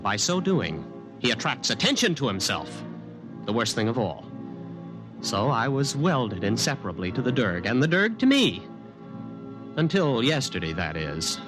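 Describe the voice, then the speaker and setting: A haunted, obsessive young man acted by a man telling his story in 1950s radio style. His mid-pitched voice moves restlessly up and down, agitated and repetitive. Music plays behind him on the old recording. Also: big pitch swings, moderate pace, natural voice. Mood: brooding. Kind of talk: 1950s radio acting, first-person narration.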